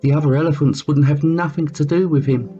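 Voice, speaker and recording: A man reading a children's story aloud as a storyteller, with theatrical, drawn-out emphasis. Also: a little high, fairly even intonation, mellow. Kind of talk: expressive read-aloud storytelling. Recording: muffled lo-fi sound with music behind. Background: music.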